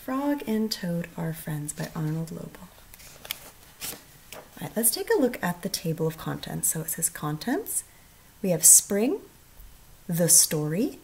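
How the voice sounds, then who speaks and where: Woman, first grade teacher, children's read-aloud, expressive, questioning, highly varied pitch. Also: low-quality recording. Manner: expressive, asking the listener questions.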